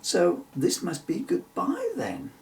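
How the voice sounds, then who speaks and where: A man's voice: high voice, slow, gravelly, recorded from a distance, pitched above the performer's own voice, exaggerated voice. A man voicing a curious, polite and giggly young girl from a children's storybook. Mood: eager. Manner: polite, excitable. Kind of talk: children's storybook character reading.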